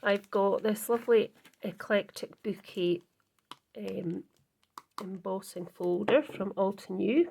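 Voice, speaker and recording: Woman vlogger, husky croaky voice, unhurried, rambling self-corrections while crafting. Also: mid-range voice, darkish tone, rough recording. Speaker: card-making channel host. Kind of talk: casual crafting tutorial chat.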